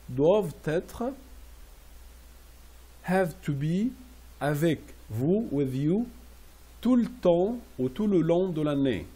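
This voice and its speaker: A man teaching French classroom phrases, repeating each slowly and translating it into English. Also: on the high side, warm tone, breathy edge. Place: Riyadh.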